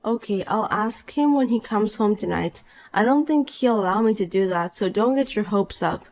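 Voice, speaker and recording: A woman voicing a calm, cool married young woman in a dramatized story, dry and patient. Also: medium pitch, normal speed, natural voice, poor audio.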